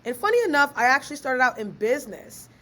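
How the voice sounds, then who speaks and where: A young woman, born in Ghana and raised in Maryland, chatting as a podcast guest in a lively, slangy way and laughing easily. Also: high voice, fast. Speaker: guest, author and researcher. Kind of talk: casual podcast interview.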